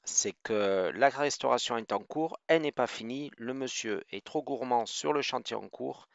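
A man narrating a travel vlog voice-over in a steady reading tone. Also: medium pitch, moderate pace, vocal fry, no room sound, lo-fi sound. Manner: steady reading, even explaining tone.

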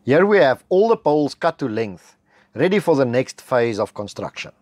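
A middle-aged South African man with an Afrikaans background, narrating a homestead building vlog in a measured, matter-of-fact voice-over. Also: mid-range voice, very animated, darkish tone, no room sound, studio quality. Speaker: homestead vlogger. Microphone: close voice-over mic.

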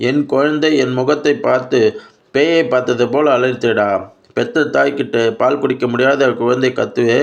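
A man's voice: mid-range voice, gravelly, fairly bright tone, voice pushed up. A man voicing an anguished, defiant old widowed grandmother in a story, scolding and pleading with insistent questions.

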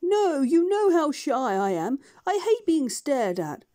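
A woman voicing a shy, polite, timid two-headed male creature, speaking gently and slowly. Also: high-pitched, big pitch swings, voice pushed up, exaggerated voice. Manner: gentle, timid, slow and careful.

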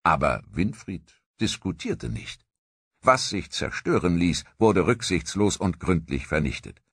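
A man narrating a history documentary in a measured, scripted storytelling manner. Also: low voice, big pitch swings, fairly bright tone, husky, no echo, clean studio sound.

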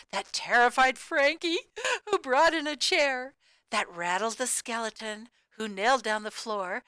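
A woman reading a rhyming picture book aloud to children in an expressive, sing-song way with emphatic key words. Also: on the high side, clean tone, no echo. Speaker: storybook reader.

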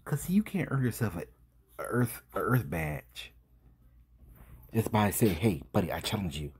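A man giving informal video commentary as host, husky and breathy, emphatic and halting, full of rhetorical questions. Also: fairly low pitch, big pitch swings, a little mellow, slight background noise.

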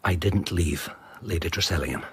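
A disgraced ex-copper in a radio play, guarded and bitter. His voice is low and very rough, breathy at the edges. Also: moderate pace, a bit bright, exaggerated voice. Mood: bitter, urgent. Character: disgraced ex-policeman, guarded, bitter, quietly dogged. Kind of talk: radio drama acting.

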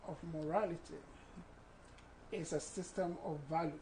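A Ghanaian man in his sixties, a guest author speaking in a measured, explanatory way in a studio interview on a lapel mic. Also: a little high, fairly even intonation, a little mellow, slight background noise, rough recording. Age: 60s.

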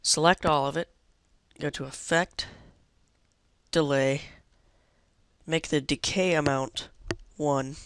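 A young man giving a casual software tutorial in an offhand, rambling way. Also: fairly high pitch, fairly even intonation, slow pace, pauses often, clean tone. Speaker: tutorial host.

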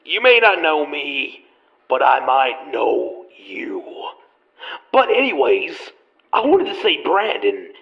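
A man's voice: big pitch swings, creaky, dull audio, exaggerated voice. A man playing a deranged, taunting teenage serial killer in a horror audio drama, sinister and prone to cackling. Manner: slow, sinister, breaking into high cackles.

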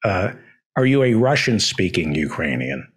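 A man in his sixties with an American accent, hosting an interview in deliberate, broken phrases into a close podcast microphone. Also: low-pitched, big pitch swings, husky. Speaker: host, interviewer. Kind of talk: conversational interviewing. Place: home living room, indoors. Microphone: podcast mic on boom arm, close.

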